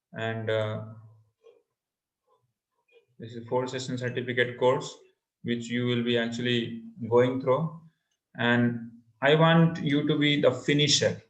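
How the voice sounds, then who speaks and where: A man with an Indian accent and Hindi as his mother tongue presents an interactive webinar, encouraging listeners and repeating phrases. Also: mid-range voice. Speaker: online course instructor. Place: indoor room, online video call. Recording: echoey room on online call.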